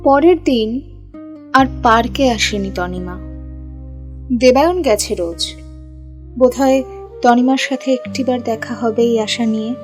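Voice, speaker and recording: A woman narrates a scripted love story. Her voice sits a little high, and instrumental music plays behind her. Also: unhurried, pauses often.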